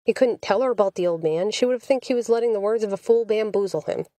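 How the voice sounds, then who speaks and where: A woman's voice: medium pitch, fast, warm tone, dry recording. American woman narrating fiction, dry sound. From United States. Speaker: audiobook narrator, author.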